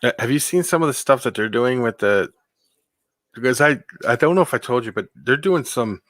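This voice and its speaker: A man with a deep voice chatting casually as a guest, hesitant and full of ums. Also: animated, a little fast, fairly bright tone, no room sound. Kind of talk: casual chat.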